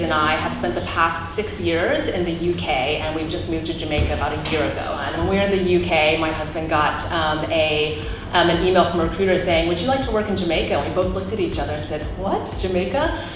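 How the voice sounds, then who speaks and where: A woman's voice: on the low side, a little fast. A woman in her thirties, a professional coach, telling her story in an interview with many ums. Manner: warm, earnest storytelling with many fillers. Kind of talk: interview answers. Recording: echoey room with noise.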